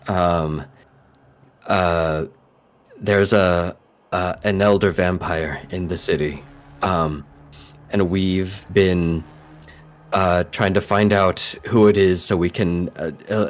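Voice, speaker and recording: A man voicing a pale, cautious vampire woman in a tabletop game, halting and stammering as he explains. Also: deep voice, steady tone. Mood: earnest, guarded.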